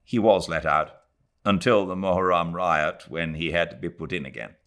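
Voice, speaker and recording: A man's voice: low-pitched, normal speed, a bit bright. A man voicing a stiff, exasperated young Englishman who speaks in a clipped, brusque way. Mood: brusque impatience.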